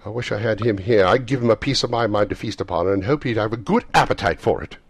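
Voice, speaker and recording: A man voicing an indignant, sharp-tongued wife and mother in a storybook, emphatic and bitterly sarcastic. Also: extremely low pitch, a little fast, no echo.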